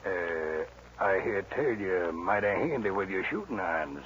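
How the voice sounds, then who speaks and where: An actor playing a rough, mocking American saloon gunslinger in a 1940s radio western, drawling his taunts. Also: low voice, expressive, normal speed, fairly bright tone. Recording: noisy, dull old radio recording.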